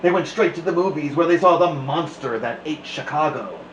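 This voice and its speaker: A man's voice: a little high, normal speed, a bit bright, dull audio. A man hosting a children's story time, reading aloud and chatting expressively. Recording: echoey room, faint background noise.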